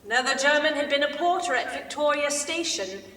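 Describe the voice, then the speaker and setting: A woman reading the part of an earnest, wistful young British soldier in a staged letter narration, measured and expressive. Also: sits high. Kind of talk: staged reader's theater, scripted letter narration. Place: large theater stage indoors. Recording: echoey stage, distant mic, noisy.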